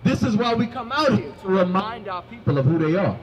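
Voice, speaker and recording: A young man with a very high voice preaches on a busy city sidewalk, microphone in hand. He quizzes the passersby and climbs into commanding shouts. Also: lively intonation, brisk, lo-fi sound, a crowd in the background. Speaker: street preacher. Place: city sidewalk by a food cart. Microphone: handheld microphone.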